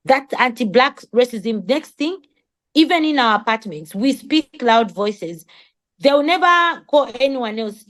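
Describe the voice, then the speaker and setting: Woman, non-native English, slightly high pitch, impassioned summit speaker, emphatic, rhetorical, repeating for emphasis. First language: not English. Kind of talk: impassioned advocacy speech.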